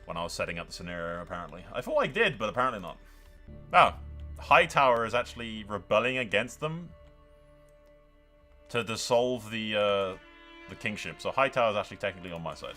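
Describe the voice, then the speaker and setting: A man giving casual, excited gameplay commentary as a streamer, with sweary, theatrical banter. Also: fairly low pitch, fairly quick, pauses often. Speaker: game streamer narrating a strategy role-play. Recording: music behind the voice. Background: music.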